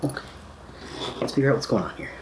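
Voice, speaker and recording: A man's voice: quick pace, background noise. An American man giving a casual, hands-on DIY repair tutorial in a laid-back, playful, informal way. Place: indoors at home, windows open.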